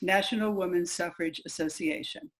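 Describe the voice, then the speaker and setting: A woman's voice: fairly low pitch, normal speed, slight background noise, close to an everyday voice, rough recording. A woman playing an earnest, resolute suffragist, reciting with formal, emphatic oratory in readers' theater. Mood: indignant.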